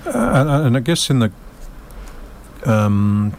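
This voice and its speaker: Wry, gravelly radio host. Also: male voice, mid-range voice, big pitch swings, slow pace, darkish tone, a little hiss or hum. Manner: rambling, wry, with frequent fillers. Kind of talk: casual radio interview. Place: community radio studio.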